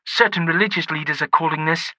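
A polite, formal female news reporter, as a male narrator puts her on, reading bulletins in a raised, very bright, sharp tone. The sound is close and dry, with no room around it. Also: fairly high pitch, quick pace, no echo, voice pushed up, rough recording. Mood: formal newsreading. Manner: formal broadcast delivery. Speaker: audiobook narrator voicing a character.

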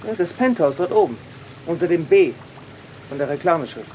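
A man's voice: on the high side, lively intonation, normal speed, a little mellow, a little hiss or hum, lightly acted, poor audio. A man acting in a German audio drama as a chatty witness, slangy and dismissive in manner. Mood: obliging. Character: chatty, offhand male witness giving police a statement.

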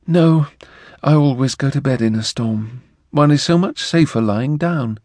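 A male reader voicing a prim, slightly deaf young woman in an audiobook, speaking in an earnest, lilting falsetto. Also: unhurried, a little mellow, no echo, clean studio sound, lightly acted. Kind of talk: audiobook character voice.

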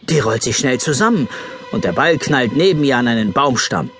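A man narrating a scripted children's story as an expressive storyteller. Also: mid-range voice, moderate pace, a little hiss or hum.

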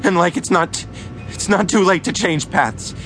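An actor playing an earnest, anguished scientist under strain, intense and halting, in a radio play. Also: male voice, high voice, fairly bright tone, slight background noise. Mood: anguished, restrained. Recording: faint music behind the voice.

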